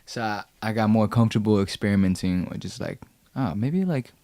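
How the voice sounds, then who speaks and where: A man's voice: lowish voice, fairly quick, mellow, no room sound. A young man, a rapper guest talking in a laid-back, slangy way on a podcast. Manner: laid-back, slangy, quotes people in raised voices. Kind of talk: casual podcast conversation.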